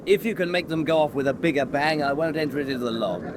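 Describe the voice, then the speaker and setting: An actor playing a cocky, hard-drinking submarine commander, jovial, teasing and theatrical. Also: male voice, a little high, fast, noisy background, lightly acted. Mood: teasing, tipsy. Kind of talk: dramatic radio acting.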